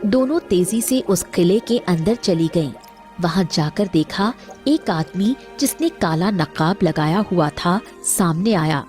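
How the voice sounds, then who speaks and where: Woman narrator for a cartoon story, lowish soft dark tone, music under it. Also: animated, a little slow.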